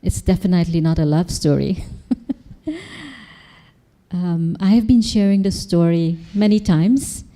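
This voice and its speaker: A woman from Guyana tells her personal story to an audience in a large meditation hall. Her voice sits a little low and sounds very dark and mellow, and she talks in a friendly, conversational way. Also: unhurried, pauses often. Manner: friendly and conversational, with small laughs. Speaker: panel speaker. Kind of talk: personal testimony.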